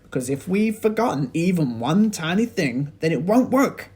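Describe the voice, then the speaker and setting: A man voicing an excitable, impatient father from a children's storybook, in urgent shouted exclamations. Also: fairly high pitch, moderate pace, slightly rough, pitched above the performer's own voice. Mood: impatient urgency.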